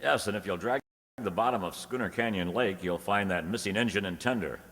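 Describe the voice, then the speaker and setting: A man's voice: lowish voice, a little fast, a bit bright. An actor playing a confident, courteous male newspaper reporter in a radio serial. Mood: assured. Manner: polite, assured. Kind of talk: old-time radio serial acting. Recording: echoey hall.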